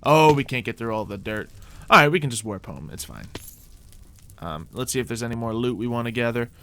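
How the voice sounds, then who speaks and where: A man's voice: medium pitch, animated, brisk, pushed and bright, a little hiss or hum. A man hosting a gaming video, thinking aloud in casual gameplay commentary.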